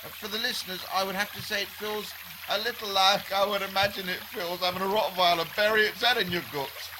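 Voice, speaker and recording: An actor playing a stoical explorer who speaks formally and with understatement in a comic radio documentary. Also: male voice, sits high, fairly even intonation, fairly quick, noisy background, lightly acted. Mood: pained, understated. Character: Peter, absurdly polite, stoical male jungle explorer. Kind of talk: mock radio documentary acting. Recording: very loud jungle and running water.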